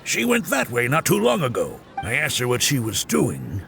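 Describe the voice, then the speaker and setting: A man's voice: mid-range voice, wildly swooping pitch, moderate pace, vocal fry, a bit bright, exaggerated voice. A man voicing a dutiful, matter-of-fact city guard in a video game, plain-spoken and helpful. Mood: businesslike. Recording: faint music behind the voice.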